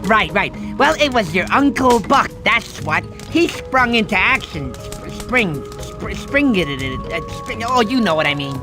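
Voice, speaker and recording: Goofy cartoon sloth, game storyteller. Lisping, excitable, bumbling, very animated delivery over music. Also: male voice, high voice, measured pace, few pauses. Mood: dramatic, flustered. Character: goofy, good-natured male cartoon sloth telling bedtime stories.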